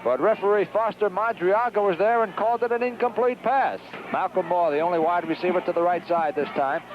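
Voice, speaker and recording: An American man giving live radio play-by-play commentary of American football, animated and urgent in short clipped phrases. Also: high voice, fairly quick. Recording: dull, noisy 1970s radio broadcast recording.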